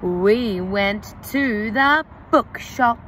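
A young woman teacher, reading a picture book aloud to young children in a slow, exaggerated, sing-song way, recorded outdoors on a phone. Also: mid-range voice, a bit bright, a little hiss or hum. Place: outdoors under trees.

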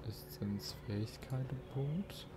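Let's play host: man, average-pitched voice, unhurried casual commentary, noisy background. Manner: informal, musing to himself and viewers. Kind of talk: casual gameplay commentary, thinking aloud.

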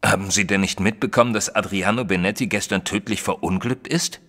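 A man playing a proper, opinionated Victorian gentleman who tells his story in a German audio drama, measured and expressive. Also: lowish voice. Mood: indignant. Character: proper Victorian gentleman narrator, opinionated, easily shocked companion. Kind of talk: dramatised first-person audiobook narration in German.

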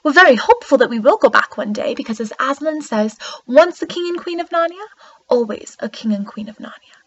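An eager little storybook queen, acted out by a woman, tells her tale with wide-eyed, emphatic earnestness. The voice is high and breathy. The sound is dull and lo-fi. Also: lively intonation, fairly quick, fairly bright tone. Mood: earnest, hopeful. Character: eager young girl queen of a magical land. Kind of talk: character monologue.

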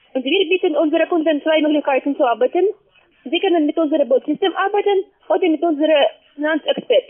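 A woman with a foreign accent, not a native German speaker, giving a halting sales pitch on the phone as a call-center agent in broken grammar. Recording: tinny, narrowband phone line.

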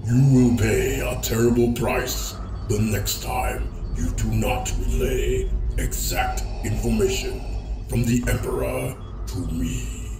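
An actor playing a cold, imperious armored Sith lord in an audio drama, commanding and threatening. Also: male voice, mid-range voice, slow, creaky. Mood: imperious threat. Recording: echoey, distant voice under film music.

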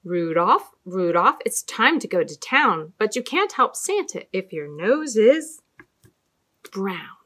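A middle-aged woman telling stories and talking to young children as a storytime host, with an expressive, deliberate sing-song delivery and dramatic emphasis. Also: medium pitch. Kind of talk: read-aloud storytelling and talk to young children. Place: small indoor room with fabric backdrop.

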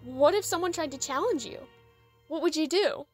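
A woman's voice: high-pitched, moderate pace, faint music behind, natural voice. A woman playing an earnest, idealistic young female dragon in an audio drama, a little hesitant in manner. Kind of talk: fan audio drama acting.

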